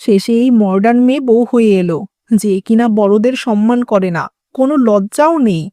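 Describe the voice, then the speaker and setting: Cartoon role: scolding, old-fashioned mother-in-law played by a woman, fairly high mellow voice, dry sound, given to lamenting. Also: brisk, no echo, close to an everyday voice. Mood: aggrieved, lamenting. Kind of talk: dramatic cartoon dialogue.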